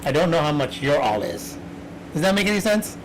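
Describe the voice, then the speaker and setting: Man host, higher voice, rambling and restarting, with noise behind him. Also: big pitch swings, a little fast. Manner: rambling, many restarts and fillers. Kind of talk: casual chat. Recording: clear background noise.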